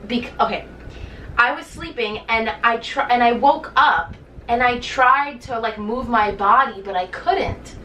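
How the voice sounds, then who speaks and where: A woman's voice: fairly high pitch, measured pace, sharp tone. A young woman co-hosting a casual podcast, chatty and giggly, with lots of "like". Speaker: podcast co-host. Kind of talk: casual chat. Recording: echoey room, mic at a distance, faint hiss.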